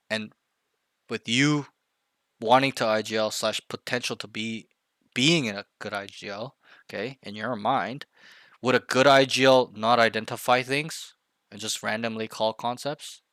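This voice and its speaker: A man's voice: on the low side, steady tone, a little slow, long pauses, a bit bright, no room sound, clean studio sound. A man coaching a younger player, blunt and emphatic, repeating key points to drive them home. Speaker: game coach. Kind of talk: coaching session, direct feedback.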